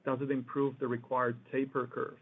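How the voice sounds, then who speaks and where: A man moderating a formal question session, reading out submitted questions in a halting way. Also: mid-range voice, little pitch movement. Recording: noisy thin phone line with clicks.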